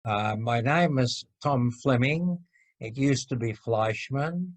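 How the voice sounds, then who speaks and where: A man's voice: medium pitch, takes it slowly, no echo. A man in his eighties from Slovakia giving frank personal testimony over a video call, stumbling on repeats. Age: 80s. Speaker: Holocaust survivor interviewee.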